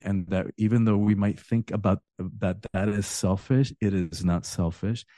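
A man's voice: lowish voice, fairly even intonation, moderate pace, mellow, no room sound. A man born in Cuba, an author talking as a podcast guest, earnest, often repeating words as he begins a thought. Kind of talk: casual interview talk.

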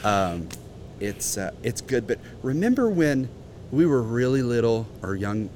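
A man's voice: medium pitch, sing-song, measured pace, a little mellow, a little hiss or hum. A man in his forties, a guest in a casual interview, talking earnestly with many restarts. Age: 40s. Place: outdoor conference grounds.

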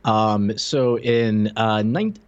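A man's voice: fairly low pitch, extremely slow, no room sound, highs cut off. A man co-hosting a casual pop-culture podcast, talking in a hesitant, rambling way with joking asides. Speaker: podcast co-host.

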